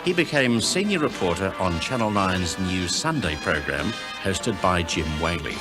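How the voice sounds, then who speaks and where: A man's voice: sits low, sing-song, moderate pace, over music. A man with an Australian accent reading scripted narration for a documentary tribute.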